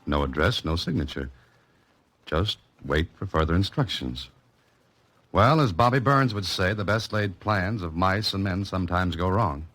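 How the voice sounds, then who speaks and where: An American actor playing a wry, cool novelist-sleuth in a 1940s radio drama, with dry, clipped delivery. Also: male voice, low voice, animated, moderate pace, fairly bright tone. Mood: wry, intent. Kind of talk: 1940s radio drama acting and first-person narration. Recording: old radio recording with music behind.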